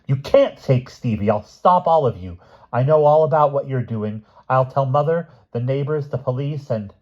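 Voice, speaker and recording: A man's voice: medium pitch, lively intonation, moderate pace, muffled recording, voice pushed up, natural voice. A man voicing a frantic, defiant young girl in an audiobook story. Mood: defiant, shrill.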